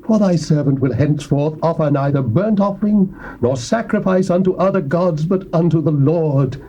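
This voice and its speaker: An English actor playing a proud, grateful Syrian army captain, speaking in a declamatory, emphatic way on a lo-fi tape. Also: male voice, a little high, normal speed, mellow, slight background noise, natural voice. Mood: humble. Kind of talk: theatrical audio Bible drama. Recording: dull lo-fi tape.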